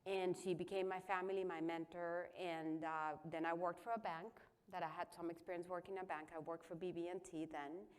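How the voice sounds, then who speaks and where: Woman in her fifties from Colombia, low voice, candid panelist sharing her story. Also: fairly even intonation, a little fast, few pauses, smooth. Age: 50s. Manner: candid, self-deprecating, chatty. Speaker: panelist, chamber of commerce president.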